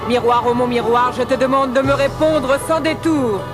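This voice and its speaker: Woman playing a haughty scheming queen, high imperious voice, cartoon music behind. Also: moderate pace, close to an everyday voice. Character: scheming proud queen, middle-aged woman. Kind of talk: French cartoon dub.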